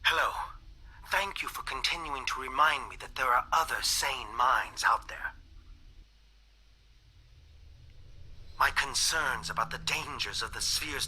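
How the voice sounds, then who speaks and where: An actor playing an earnest, worried alien reptilian scientist in a video game, speaking formally and with grave, articulate care. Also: male voice, mid-range voice, sharp tone, breathy edge, rough recording. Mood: frustrated, worried. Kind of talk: scripted video game voice acting, monologue message.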